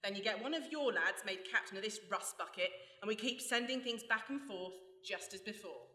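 Woman as a bright, gleeful villainess. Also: medium pitch, brisk, lightly acted. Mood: gleeful scheming. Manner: breezy, mocking. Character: ruthless, gleeful female criminal mastermind, scheming and cocky. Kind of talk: radio play acting. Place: stage before a live audience. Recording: echoey live hall.

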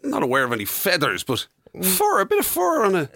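Lively Irish man chatting on radio. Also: high-pitched, a little fast, dry recording. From Ireland. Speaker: radio breakfast show co-host. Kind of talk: casual chat.

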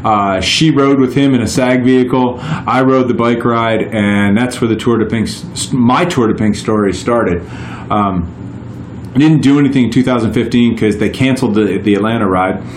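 A man's voice: medium pitch, normal speed, echoey room, a little hiss or hum. A man in his forties, a guest on a podcast, telling his stories in a casual, rambling way with many restarts. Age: 40s. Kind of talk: casual chat.

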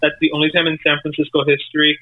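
A man's voice: on the high side, completely flat delivery, rapid-fire, phone-quality sound, slight background noise. A man of about forty chatting casually about comics as one member of a panel. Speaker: podcast panelist.

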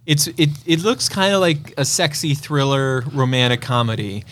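A man's voice: mid-range voice, expressive, moderate pace, a bit bright. A man co-hosting a podcast, chatting casually about movie reviews. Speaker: podcast co-host. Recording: clear background noise.

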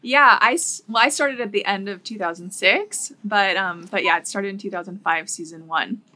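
A woman's voice: mid-range voice, moderate pace, sharp tone. A woman from Los Angeles, a former TV producer, telling stories in a casual studio podcast chat, with many fillers. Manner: chatty, fillers and storytelling. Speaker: guest, former executive producer.

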